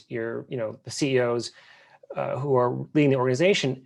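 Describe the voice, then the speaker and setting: A man talks quickly on a webinar panel about finance, his voice sitting in a middle pitch. He is earnest and often restarts his phrases. It sounds like a video call. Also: a little mellow. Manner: fast, earnest, with repeated restarts.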